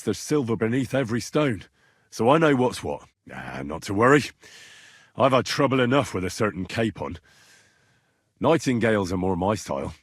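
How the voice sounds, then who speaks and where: An earnest young man of common birth in a medieval video game. His voice sits at a medium pitch with a slightly rough, husky edge. He speaks in a plain, folksy, curious way, with lively swings in intonation. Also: dry recording, studio quality. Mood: wry, confiding. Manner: conversational, expressive, questioning, folksy turns of phrase. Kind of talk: video game dialogue acting.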